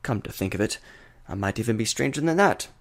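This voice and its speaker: A man voicing an eccentric, cheeky chimera prince from a fantasy story, theatrical and teasing, with a smooth voice. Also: on the low side, expressive, brisk. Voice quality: smooth voice with an oddly placed accent.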